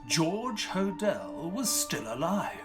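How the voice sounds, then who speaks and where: An actor playing an elderly retired doctor and author in an audio drama, narrating in a measured, solemn, wistful way. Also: male voice, sits high, big pitch swings, over music, full character voice. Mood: reflective, solemn. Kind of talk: dramatic first-person scripted narration.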